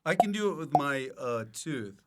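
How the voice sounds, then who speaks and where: A man's voice: on the high side, expressive, moderate pace, heavy background noise. A man hosting a casual comic interview, chatting and asking questions. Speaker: podcast host.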